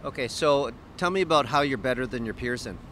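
A middle-aged man interviewing a guest on a city street, asking brief questions and adding quiet mm-hmms. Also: medium pitch, lively intonation, brisk. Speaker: interviewer for an exchange's video series. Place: busy downtown street outdoors. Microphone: handheld mic. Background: faint city traffic.

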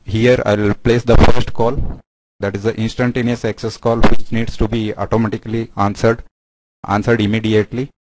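Low, flat-voiced man giving a demo. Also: low-quality recording. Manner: halting, explanatory step-by-step delivery. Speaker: software product demonstrator. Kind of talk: screen-share product demo narration.

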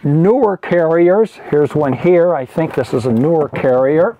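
A man in his seventies giving an informal show-and-tell as an archivist, speaking in a halting, self-correcting, explanatory way. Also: a little high, narrow, tinny audio, a little hiss or hum. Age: 70s. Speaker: archivist presenting a collection. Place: indoor archive room with packed shelves. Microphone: lapel mic.

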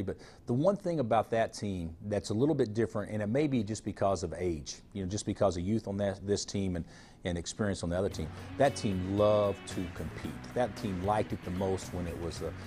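A man's voice: lowish voice, a little fast, music in the background. A man in his forties, a college basketball coach, answering TV interview questions frankly, often restarting and repeating words. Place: studio remote booth.

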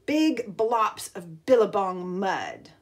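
A woman's voice: mid-range voice, sing-song, takes it slowly, husky, full character voice. A woman as a chatty know-it-all platypus. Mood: advising.

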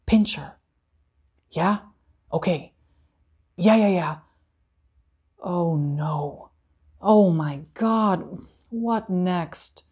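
Brief: a young man playing a frazzled fair official, exasperated and quick on the phone. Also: sits high, a little mellow, husky, exaggerated voice.

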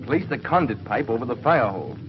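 Actor as authoritative 1950s space scientist, muffled soundtrack. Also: male voice, mid-range voice, big pitch swings, brisk, over music, lightly acted. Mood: grave, explanatory. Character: authoritative, patient middle-aged male space scientist. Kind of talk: 1950s TV serial acting. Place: studio laboratory set. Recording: dull, noisy 1950s TV soundtrack.

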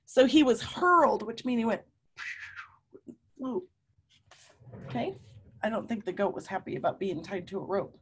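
A woman in her fifties reading a story aloud to young children, with animated, drawn-out emphasis and questions to her listeners. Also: on the high side, slightly rough. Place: room at home.

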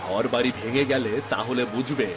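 A man's voice: mid-range voice, moderate pace, lightly acted. A man voicing village men in a dramatic folk-tale cartoon, speaking. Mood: reproachful. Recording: music behind the dialogue.

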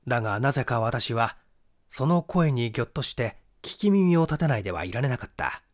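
A man's voice: medium pitch, big pitch swings, brisk. A man giving a dramatic, scripted reading of a mystery novel as its narrator. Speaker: audiobook reader, stage actor.

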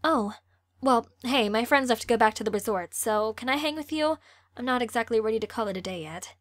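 A woman's voice: fairly high pitch, a little fast, no echo, close to an everyday voice. A woman playing a friendly, chatty, teasing teenage girl in an amateur audio drama. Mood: cheerful.

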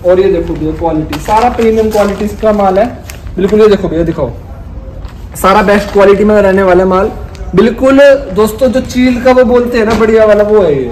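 A young North Indian man with a notably high voice pitches wholesale goods to viewers in repetitive, informal patter. He is recorded in a reverberant warehouse with background noise, the camera a little way off. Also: fairly quick, a little mellow. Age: 20s. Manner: repetitive salesman's patter, addressing viewers as friends. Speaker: wholesale clothing seller and vlogger. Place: large indoor warehouse full of clothing bales. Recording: echoey room with clear background noise.

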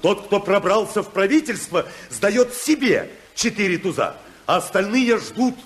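An actor in a 1950s Soviet radio drama playing an outspoken, idealistic political rebel, husky and oratorical, with a sardonic edge. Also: male voice, sits high, lively intonation, measured pace. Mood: wry, storytelling. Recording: 1950s radio play recording.

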